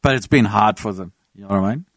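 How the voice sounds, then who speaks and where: A man's voice: fairly low pitch, fast, no echo, clean studio sound. A man on a casual podcast, bluntly explaining his view at length. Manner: blunt, explains at length with "you know what I mean". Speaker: podcast panelist. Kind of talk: casual chat.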